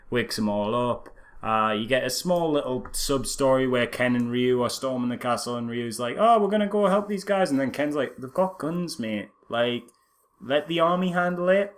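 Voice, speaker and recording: A man's voice: mid-range voice, normal speed. A man co-hosting a podcast with casual, sarcastic film commentary, acting out lines as he retells scenes. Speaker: podcast co-host.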